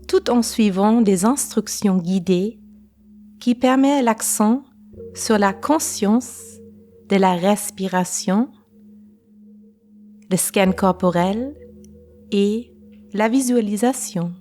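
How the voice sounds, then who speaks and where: Slow-paced woman guiding meditation, mellow voice. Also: medium pitch. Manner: calm, unhurried, pausing between short phrases. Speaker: guided meditation teacher. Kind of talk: slow guided relaxation meditation. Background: faint music.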